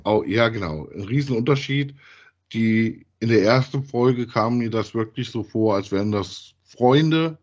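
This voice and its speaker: A man, chatting casually as a podcast co-host, thinking aloud haltingly and repeating himself. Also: medium pitch. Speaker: podcast co-host reviewing audio dramas. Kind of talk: casual chat, reviewing audio plays.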